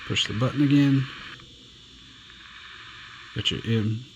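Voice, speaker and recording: A man talks through a gadget in a relaxed, plainspoken way, taking his time over each feature. His voice sits at an ordinary pitch and moves at a slow, easy pace. A faint hiss of room noise runs underneath. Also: muffled recording. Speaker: radio reviewer. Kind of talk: casual hands-on product demo.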